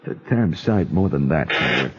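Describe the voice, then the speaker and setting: An actor playing a restrained, dutiful middle-aged American general with a gravelly voice and formal, measured manner, in a radio drama style. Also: male voice, rumbling low, sing-song. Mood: grave, resigned. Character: restrained, dutiful male US Army general guarding secrets. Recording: dull, noisy lo-fi radio recording.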